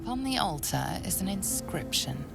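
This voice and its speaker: An actress playing a mysterious, solemn game narrator who speaks in a measured, hushed manner. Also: female voice, on the low side, very animated, gravelly, loud music behind the voice, exaggerated voice.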